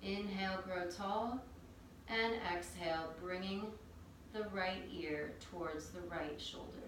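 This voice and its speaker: A woman teaching a yoga class, calmly guiding breath and movement step by step. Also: fairly low pitch, slow. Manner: calm, gentle cueing of breath and movement. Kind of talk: guided yoga instruction. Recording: very echoey room, distant mic, faint noise.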